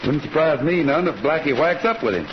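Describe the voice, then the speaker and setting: An actor playing a steady, laconic cowboy rancher in an old-time radio Western, speaking in terse, folksy lines. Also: male voice, fairly high pitch, fairly quick, close to an everyday voice. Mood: determined. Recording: noisy, muffled old radio recording.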